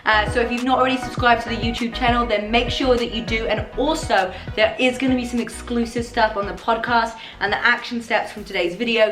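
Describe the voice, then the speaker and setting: A woman with a hoarse, husky voice from losing it, hosting a podcast in an upbeat, chatty way and coughing now and then. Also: a little high, fairly bright tone. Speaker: podcast host. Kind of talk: casual chatty podcast monologue. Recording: roomy echo.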